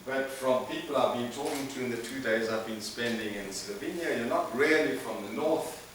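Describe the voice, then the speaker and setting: A man from Zimbabwe giving a public lecture as guest speaker, lively, jumping between loud bursts and quiet asides. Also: mid-range voice, moderate pace. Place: large lecture hall. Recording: echoey lecture hall, mic far off, background noise.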